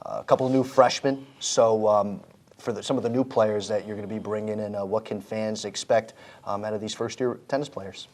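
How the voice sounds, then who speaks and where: A man's voice: fairly low pitch, steady tone, brisk, a little mellow. A man hosting a sports interview, asking upbeat, conversational questions. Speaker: interview host.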